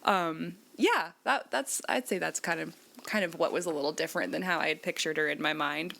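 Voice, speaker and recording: A woman's voice: deep voice, a little fast, gravelly, bright, forceful tone. A woman in a casual podcast chat, talking conversationally as one of the panelists. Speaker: podcast panelist and role-playing gamer.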